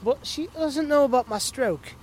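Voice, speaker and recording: A man's voice: very high voice, lively intonation, moderate pace, a little mellow, a little hiss or hum, low-quality recording. A man from the American Midwest speaking with an affected-sounding English accent, telling stories in a rambling, repetitive, playful casual monologue. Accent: put-on sounding English. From Milwaukee, Wisconsin, United States.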